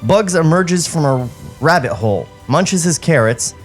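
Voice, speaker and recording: A man's voice: on the high side, expressive, unhurried, soft background music. A man hosting a podcast, reading script directions aloud and commenting casually on them in a comedic table read.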